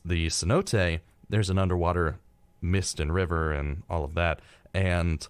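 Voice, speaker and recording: A man's voice: sits low, no room sound. A man co-hosting a live radio show in a casual, chatty, rambling way, tossing in wry reactions, close on a studio microphone.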